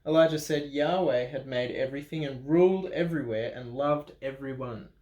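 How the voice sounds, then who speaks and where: A man's voice: mid-range voice, moderate pace, darkish tone, dull audio. An Australian man reading a children's picture book aloud at a church, with playful chatty asides. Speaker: children's story reader. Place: church room. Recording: roomy echo.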